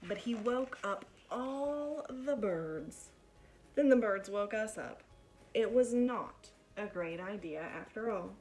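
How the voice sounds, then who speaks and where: A woman with a medium-pitched voice reads aloud to young children as a teacher would. Her pace is slow and deliberate, with emphasized words and expressive storytelling. Faint music accompanies her. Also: low-quality recording. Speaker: teacher reading a picture book aloud to pupils.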